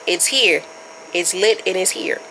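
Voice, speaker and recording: A woman's voice: on the low side, unhurried, sharp tone, slight background noise, poor audio. A young woman hosting her podcast, chatting casually and informally with her listeners while stuffed up from a starting cold. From Baltimore area. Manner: chatty, loose and informal, addresses listeners as y'all. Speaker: podcast host. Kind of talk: casual solo podcast chat.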